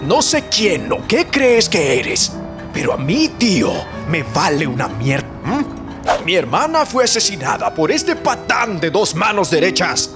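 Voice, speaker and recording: High-pitched, brash young swordsman acted by a man. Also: big pitch swings, a little slow, heavily acted. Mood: indignant. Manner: cocky, confrontational. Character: brash, hot-headed young swordsman out for revenge. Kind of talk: parody anime dub acting. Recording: loud music behind the voice.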